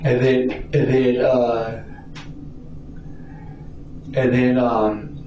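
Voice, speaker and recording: A frightened, distressed man being interrogated as a suspect, giving a halting, rambling account and stammering over repeated words. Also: medium pitch, little pitch movement, mellow. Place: indoor police interview room. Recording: roomy, distant, muffled, noisy interrogation recording.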